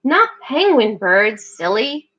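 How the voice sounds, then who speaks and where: A woman voicing a bubbly, excited young female penguin who gushes and chirps. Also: a little high, slow, husky, exaggerated voice, rough recording. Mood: gushing. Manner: gushing, chirpy.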